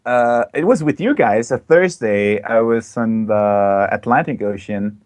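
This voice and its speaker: A young man from Belgium talks as a guest on an online call, his voice low and the sound dry with no room around it. He chats eagerly, full of fillers and restarts. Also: a little slow, darkish tone, no echo. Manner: enthusiastic, chatty, many fillers. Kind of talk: casual interview chat. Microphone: online call mic.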